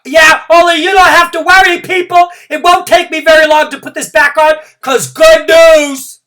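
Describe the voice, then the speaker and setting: A woman voicing a bouncy, bossy kindergarten girl who calls herself captain, talking loudly and boastfully. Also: low-pitched, very animated, takes it slowly, far from the mic, full character voice. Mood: shouting. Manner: exuberant, loud, boastful.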